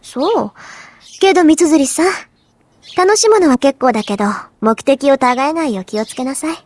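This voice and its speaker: Proud anime schoolgirl, high voice, super quick, composed honor student type. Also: lively intonation, darkish tone, no echo. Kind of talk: anime visual novel voice acting in Japanese.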